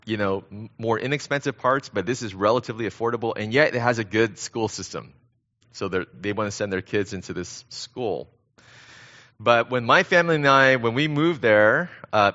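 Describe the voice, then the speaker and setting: A middle-aged American man preaching conversationally as a guest pastor, speaking in an earnest, halting way. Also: mid-range voice, bright, forceful tone, dull audio. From born in Korea, grew up in Southern California. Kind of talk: conversational sermon.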